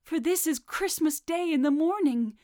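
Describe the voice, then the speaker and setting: A woman's voice: high-pitched, normal speed, mellow, dry recording, clean studio sound, voice pushed up, natural voice. A worn-out old tailor frets in a weary, raised voice, as a woman reading a storybook puts him on. He sounds tired and anxious over his unfinished work. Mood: fretful. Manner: weary, fretful.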